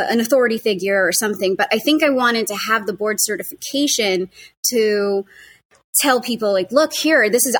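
A woman in her thirties with a youthful, high-pitched voice, a lawyer guest on a podcast who talks in a lively, teasing way. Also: dry recording. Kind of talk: casual podcast interview.

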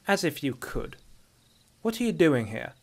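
A man voicing a sly female dark elf bandit in a fantasy story, brash, sardonic and bossy. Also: on the high side, big pitch swings, a little slow, pitched above the performer's own voice. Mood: mocking.

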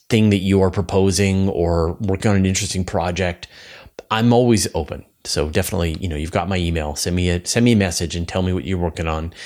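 Low-voiced man, casual podcast host. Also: a little mellow. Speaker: podcast host and science news publisher.

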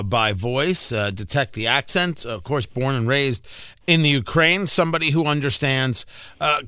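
A man hosting a political interview, asking long, deliberate, emphatic questions in halting phrases. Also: medium pitch, pushed and bright, smooth, dry recording. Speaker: radio interviewer, host.

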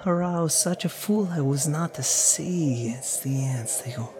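A man's voice: on the high side, normal speed, noisy background, lightly acted. A man playing an obsessed, irritable drunk in a found-footage audio drama, muttering in fragments. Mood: fixated, muttering. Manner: muttering, fragmented, singsong at times.

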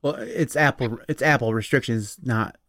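A man's voice: mid-range voice, normal speed, fairly bright tone, dry recording. A man hosting a casual tech podcast, teasing and joking with his co-hosts. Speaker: podcast host.

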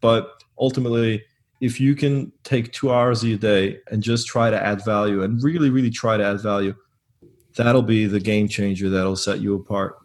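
Man, low voice, loud and emphatic, repeats words, casual interview guest. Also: steady tone, normal speed. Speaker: guest, business owner.

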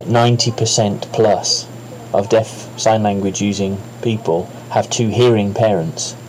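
A man giving unscripted interview answers as an expert, halting and restarting often. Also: fairly low pitch, steady tone, darkish tone, slight background noise, rough recording.

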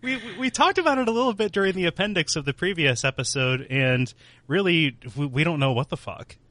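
A man's voice: on the high side, animated, brisk, pushed and bright, no room sound. A man co-hosting a podcast, casual and jokey, laughing often. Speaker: podcast co-host. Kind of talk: casual chat.

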